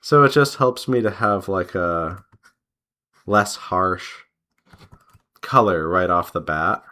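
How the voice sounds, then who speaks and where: A man chatting casually as he draws, rambling in an unhurried way and pausing mid-sentence. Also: deep voice, pushed and bright. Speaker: artist and devlog host. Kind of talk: casual chat while drawing.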